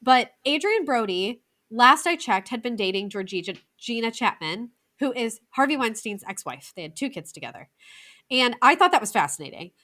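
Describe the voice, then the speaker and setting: An American woman in her thirties chats through a film review podcast with a bright, lively voice that leaps up and down in pitch. She talks in a chatty, excitable way, full of quick asides. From United States. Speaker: podcast co-host. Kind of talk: casual film review chat.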